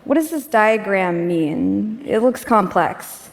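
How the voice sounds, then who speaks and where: A woman's voice: medium pitch, lively intonation, takes it slowly, creaky. A woman giving a live tech demo on stage, casual and chatty with filler words. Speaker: demo presenter. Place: stage in a large auditorium. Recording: echoey hall.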